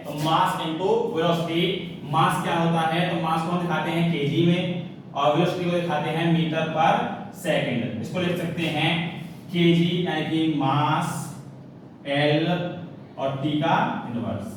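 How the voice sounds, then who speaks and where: A man with an Indian accent lectures in a very echoey room, picked up from a distance. He speaks slowly in a fairly high voice, going step by step, often asking a question and then answering it himself. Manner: repeats himself, asks questions and answers them. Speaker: physics teacher. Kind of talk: classroom lecture, explaining formulas step by step. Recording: very echoey room, distant mic, faint noise.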